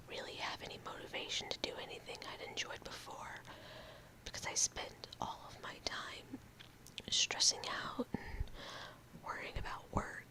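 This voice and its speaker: A young woman in her room, whispering slowly and softly into a close mic in a casual ASMR ramble, her voice a little odd after a cold. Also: low-quality recording. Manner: slow, halting, talks with fillers and small laughs. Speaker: ASMR video creator.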